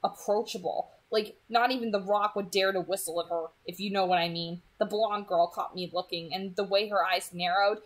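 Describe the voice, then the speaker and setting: A woman's voice: mid-range voice, fairly even intonation, moderate pace, few pauses, poor audio. A woman reading a first-person story aloud as its narrator.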